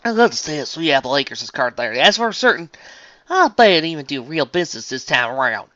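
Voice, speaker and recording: A hearty young female farm pony, played by a man reading a story, with a twangy Southern US accent. The delivery is folksy, blunt and drawling, bright and lively in pitch. The sound is a little dull and lo-fi. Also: a little fast, dry recording.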